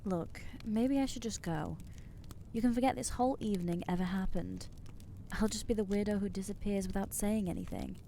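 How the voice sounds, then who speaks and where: A woman's voice: medium pitch, fairly quick, gravelly, dark tone, slight background noise, lightly acted. A woman voicing an anxious young female vampire in an ASMR audio roleplay, talking in nervous, stammering, self-deprecating rambles.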